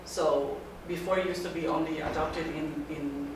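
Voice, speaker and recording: Halting woman speaker in a very echoey room. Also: low-pitched, fairly even intonation. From Taiwan. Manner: halting, often restarting phrases. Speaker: guest speaker. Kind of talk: informal talk to a student group. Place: lecture room. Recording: very echoey room, mic far off, background noise.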